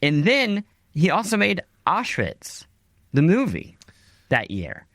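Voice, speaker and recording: Animated male podcast interviewer, dry sound. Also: sits high, slow, no echo. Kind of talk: conversational interview hosting.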